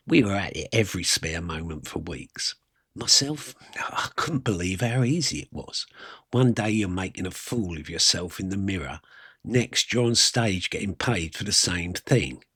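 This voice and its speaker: A man with a husky voice, telling a first-person story in a wry, colloquial, self-deprecating way. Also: low voice, fairly bright tone, dry recording, clean studio sound. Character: wry working-class South London man reminiscing about his youth.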